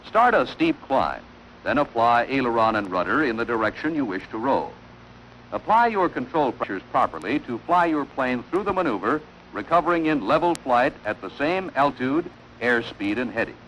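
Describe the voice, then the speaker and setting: A man delivering formal, measured instructional narration in the style of a wartime training film, on an old film soundtrack. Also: medium pitch, sing-song, a bit bright, slight background noise, low-quality recording.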